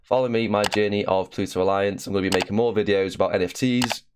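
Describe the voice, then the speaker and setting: A man's voice: fairly low pitch, steady tone, quick pace, background noise. A young man with a slight cough hosting a casual promotional video, chatty and full of fillers. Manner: chatty, with frequent "uh" and "um". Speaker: channel host.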